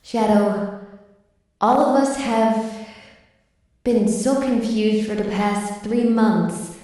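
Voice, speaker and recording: Woman as worried hedgehog girl, soft and echoey. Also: medium pitch, slow, lightly acted. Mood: worried, pleading. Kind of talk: dramatic audio drama dialogue. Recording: very echoey, distant from the mic.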